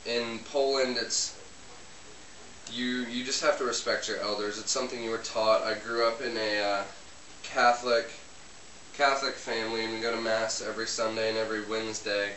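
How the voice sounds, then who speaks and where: A man's voice: on the low side, little pitch movement, moderate pace, bright, forceful tone. A man playing a young Polish man who talks hesitantly with many ums while he cooks in a home kitchen, recorded from a distance. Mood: relaxed. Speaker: interviewee cooking on camera. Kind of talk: amateur comedy sketch interview. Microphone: camera mic from a distance. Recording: roomy, distant, hissy home video.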